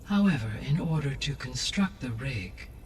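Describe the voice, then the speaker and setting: Man acting calm, precise AI, slightly distant. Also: mid-range voice, moderate pace, a little hiss or hum, lightly acted. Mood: analytical. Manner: formal, precise, emotionless. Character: calm, precise artificial intelligence, formal and analytical. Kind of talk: video game cutscene acting.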